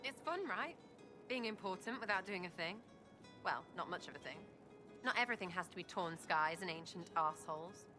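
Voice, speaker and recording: A woman's voice: on the low side, animated, normal speed, over music, natural voice. An actress playing a cheeky, irreverent young female rogue in a video game, flippant and slangy, talking in clipped phrases. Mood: playful.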